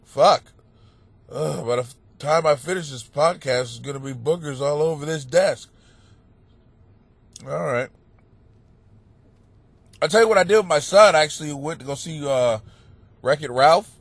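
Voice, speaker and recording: A man and comic hosting a podcast, with a stuffed-up nose, telling stories in a profane, animated rant that breaks into shouting. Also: fairly high pitch, normal speed, creaky, long pauses, husky, no echo. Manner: animated, swearing, bursts into shouting. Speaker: stand-up comic and podcast host. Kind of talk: profane comedic rant monologue.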